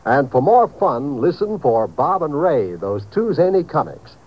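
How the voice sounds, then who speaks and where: Casting: male American network announcer, upbeat pitchman delivery with big swooping intonation, dull vintage radio sound. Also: sing-song, measured pace, slightly rough. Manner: upbeat, polished, salesmanlike. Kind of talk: 1950s radio promotional announcing.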